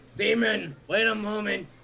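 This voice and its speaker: A woman's voice: mid-range voice, unhurried, crowd noise. A woman playing along in a game session, casually reading dialogue aloud as an amateur and bantering. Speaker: co-player voicing game dialogue. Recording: music clearly behind the voice.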